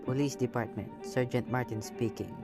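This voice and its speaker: A man playing a stolid, patronizing police desk sergeant in a radio play, placating and unhurried. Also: medium pitch, fairly even intonation, loud music behind the voice, close to an everyday voice. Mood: bored, routine.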